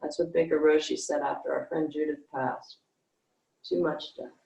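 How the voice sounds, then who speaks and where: An older woman with a slightly low voice chats casually. She is on a video call, and the room adds a clear echo around her words. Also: flat delivery, normal speed, warm tone. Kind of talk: casual chat.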